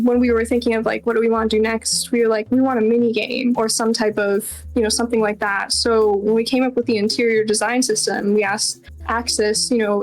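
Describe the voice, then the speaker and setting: A woman being interviewed as a game developer, talking casually and informally in a chatty, self-deprecating way. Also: on the high side. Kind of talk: casual Q&A chat.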